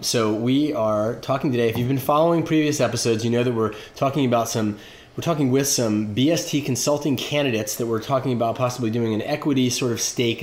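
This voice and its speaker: A male co-host with a mid-range voice, chatting in a loose, joking way. The recording has a roomy echo. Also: mid-range voice. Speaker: podcast co-host. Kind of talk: casual chat.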